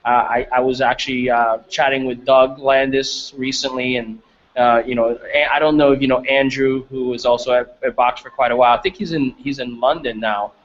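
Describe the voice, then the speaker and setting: A man interviews in a casual, conversational way, with halting phrasing and repeated words. His voice sits at a medium pitch. The recording is a little dull and lo-fi, like call audio. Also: steady tone. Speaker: interviewer, host.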